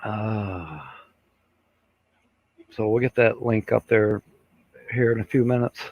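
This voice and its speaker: A man's voice: on the low side, steady tone, slow. An older man hosting a casual live stream, rambling and chuckling, with many fillers as he reads out viewer comments. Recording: dull lo-fi sound.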